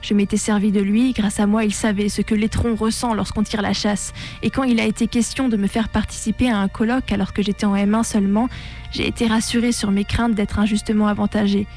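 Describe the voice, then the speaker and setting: A young woman reading a scripted personal testimony in a measured, composed, literary way. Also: mid-range voice, little pitch movement, a little mellow, faint music behind. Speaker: narrator of a personal testimony on a radio show. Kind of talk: scripted first-person narration, literary testimony read aloud.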